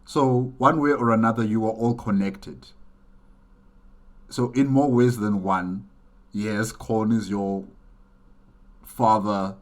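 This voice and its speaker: A man's voice: lowish voice, fairly even intonation, slow, long pauses. A man hosting a reaction video with casual, rambling commentary, musingly repeating his phrases.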